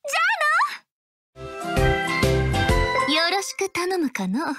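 A woman's voice: extremely high pitch, wildly swooping pitch, slow, heavily acted. A woman voicing a haughty, playful young goddess with archaic, self-important phrasing for a video game. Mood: haughty, fond. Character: haughty, playful young goddess girl with old-fashioned speech. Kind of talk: video game character voice clips. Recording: loud game music behind.

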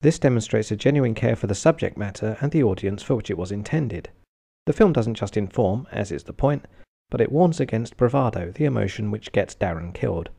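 A British man giving a scripted review narration in a deadpan, sardonic, sweary manner. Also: fairly low pitch, a little fast, creaky. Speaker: film reviewer.

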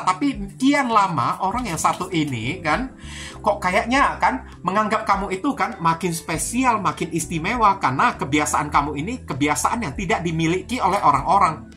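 A woman's voice: on the low side, expressive, moderate pace, bright, forceful tone. A woman from Indonesia giving an informal tarot reading, chatty and repetitive, often addressing her viewers directly. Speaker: tarot reader. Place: indoors at a card table. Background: music.

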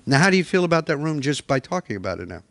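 A man's voice: on the high side, sing-song, racing, fairly bright tone, dry recording. A middle-aged man presenting a workshop in a hotel meeting room, coaching people step by step.

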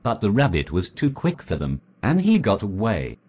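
A man reading a folk legend aloud as a storyteller, in a measured, deliberate way. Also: lowish voice, wildly swooping pitch, a little mellow, breathy edge.

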